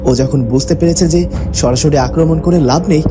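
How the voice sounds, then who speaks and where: A man's voice: on the high side, fairly quick, over music, natural voice. A man voicing an earnest, watchful and knowing cartoon character who explains things seriously. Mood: concerned, alert.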